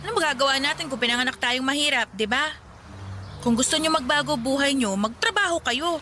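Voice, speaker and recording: High-voiced sassy young Filipina street vendor. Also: female voice, expressive, brisk, sharp tone, a little hiss or hum. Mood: brisk, scolding. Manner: sassy, bantering, upbeat. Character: spirited young hustling female street vendor, cheerful and teasing. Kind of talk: Filipino radio drama acting.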